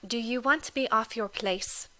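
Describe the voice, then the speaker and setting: A woman voicing a proud, composed young woman who speaks quietly and with restraint. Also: on the high side, unhurried, voice pushed up. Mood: cool. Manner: quiet, restrained, soft-spoken.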